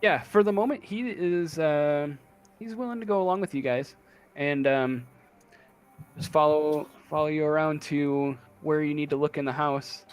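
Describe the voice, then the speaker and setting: A man narrating a tabletop game casually as game master, improvising in a hesitant, halting way. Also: fairly high pitch.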